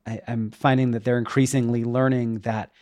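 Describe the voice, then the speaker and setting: A man's voice: medium pitch, flat delivery, moderate pace, darkish tone, dry recording, studio quality. A middle-aged man talking casually and reflectively as a podcast guest, explaining things in an informal way. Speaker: interview guest, web developer and educator.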